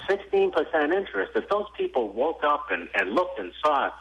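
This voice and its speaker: Husky, indignant caller on a muffled line. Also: male voice, a little high, normal speed. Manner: indignant, animated. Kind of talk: call-in radio chat.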